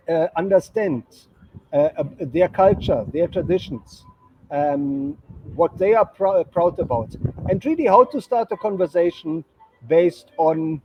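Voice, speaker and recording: A man's voice: high-pitched, breathy edge. A man from Germany with a German accent, a guest explaining his work in a conversational interview and often checking in with "right?". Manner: explanatory, frequent "right?" tags. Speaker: guest, branding consultant. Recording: dull lo-fi sound with faint noise.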